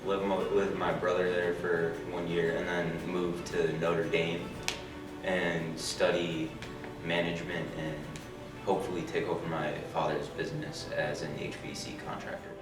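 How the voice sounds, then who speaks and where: A young man from Ohio, a high school senior, answering an informal interview. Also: little pitch movement, normal speed. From Ohio, United States. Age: young adult. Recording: roomy, far from mic, music behind.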